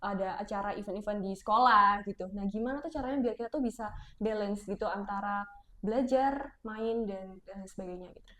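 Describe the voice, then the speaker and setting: A woman's voice: medium pitch, a little fast, fairly bright tone. Chatty young woman, roomy echo. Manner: chatty, agreeable, lots of fillers like gitu. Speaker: student podcast host. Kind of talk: casual podcast chat.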